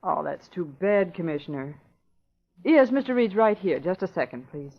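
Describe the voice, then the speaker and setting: An actress playing a courteous young secretary who answers the phone briskly, in an old radio drama. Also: female voice, on the low side, big pitch swings. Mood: polite. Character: polite young woman secretary. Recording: dull lo-fi old radio recording.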